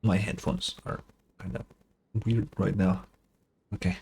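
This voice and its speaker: A man's voice: deep voice, level tone, measured pace, gravelly, a little mellow, husky. A man giving casual reaction commentary as a gaming streamer, informal, wry and sweary.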